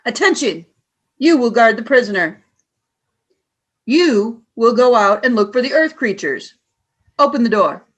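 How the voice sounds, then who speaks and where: A woman playing a cold, cruel alien commander in a radio play, speaking in a deliberate, scornful, commanding way. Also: a little high, lively intonation, a bit bright. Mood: barking orders. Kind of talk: audio drama radio play acting.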